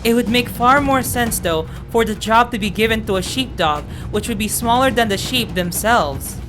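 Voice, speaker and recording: A woman game streamer reading story text aloud and commenting with effusive, exclamatory excitement. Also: a little high, moderate pace. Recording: music behind the voice.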